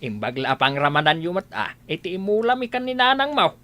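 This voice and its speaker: A bright, forceful elder with very lively swings of pitch. He is a kindly father figure in a radio drama, concerned and probing, exclaiming as he talks. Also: brisk, exaggerated voice. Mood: warm generosity. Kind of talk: Ilocano radio drama acting.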